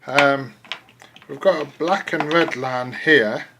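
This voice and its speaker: A man casually narrating a hands-on project as he works, halting and thinking aloud, recorded indoors on a handheld camera. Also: mid-range voice, creaky, a little hiss or hum. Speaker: DIY video host. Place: room indoors. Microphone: handheld camera mic.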